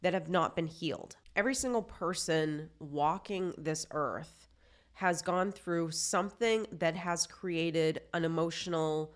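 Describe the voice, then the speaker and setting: A woman's voice: lowish voice, unhurried. A woman in her forties, a life coach hosting her own show, talking in a chatty, earnest way while still congested from a cold. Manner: chatty, earnest, lots of "like" fillers. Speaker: life coach and podcast host. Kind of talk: casual self-help talk to camera.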